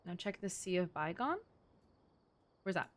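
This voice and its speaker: A woman streams a video game with a very animated, swooping voice. She comments casually and excitably, swearing freely as she goes. There is slight background noise with clicks and game sounds. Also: on the low side, unhurried. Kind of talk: casual live gaming commentary with friends.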